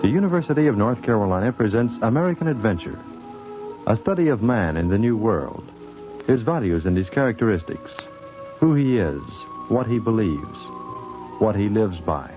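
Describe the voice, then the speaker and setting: A man announcing a 1950s radio programme in a formal, measured, scripted style. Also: lowish voice, sing-song. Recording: muffled 1950s radio recording with music.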